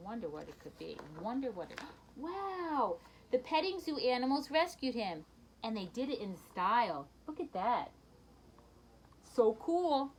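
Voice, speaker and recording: A woman reading a children's picture book aloud in an expressive storytelling manner, with brief asides to her listeners. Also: a little high, a little slow, slight background noise, rough recording.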